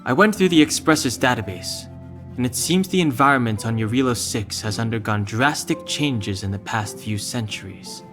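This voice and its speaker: A man playing a reserved, level-headed young crew archivist in a video game, calm and matter-of-fact. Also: mid-range voice, moderate pace, faint music behind, natural voice. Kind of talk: game cutscene dialogue.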